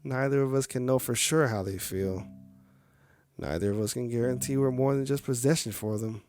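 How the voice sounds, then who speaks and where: A man's voice: mid-range voice, moderate pace, darkish tone, lightly acted. A man voicing a sad, insecure male clown puppet who gets defensive, in a visual novel game. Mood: accusing. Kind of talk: visual novel character reading. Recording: music faintly behind the voice. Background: music.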